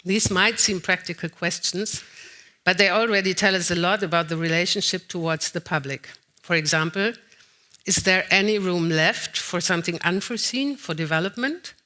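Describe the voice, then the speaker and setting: A woman's voice: lowish voice, bright, forceful tone. A woman curator giving a conference keynote from a lectern. She reads partly from notes and speaks with a few hesitant fillers. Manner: slightly halting, with frequent "uh" fillers. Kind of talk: lecture read partly from notes.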